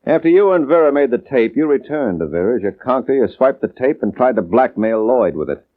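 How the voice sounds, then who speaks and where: An actor playing a tough, wisecracking private detective in a 1950s radio drama, with a clipped, wry delivery. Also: male voice, medium pitch, big pitch swings, a little fast. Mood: needling, confident. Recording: noisy, narrowband old radio recording.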